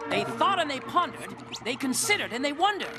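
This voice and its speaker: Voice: high-pitched, sing-song, brisk, music in the background. An actor voicing a grandiose cartoon narrator in mock-heroic storybook style. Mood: dramatic.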